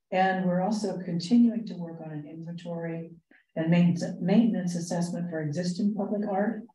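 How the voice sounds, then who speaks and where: A woman with a low, mellow voice leads a committee meeting. She explains each point in a measured, halting way. She is picked up from a distance in an echoey room. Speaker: arts commission member leading the meeting. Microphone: distant room microphone.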